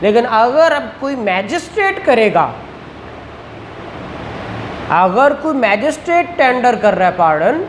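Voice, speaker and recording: A man with a Pakistani accent teaching law. He reads statute text slowly word by word, then explains it quickly and asks his students rhetorical questions. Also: very high-pitched, big pitch swings, reverberant, a little hiss or hum, poor audio. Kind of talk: lecture mixing Hindi-Urdu explanation with English statute reading.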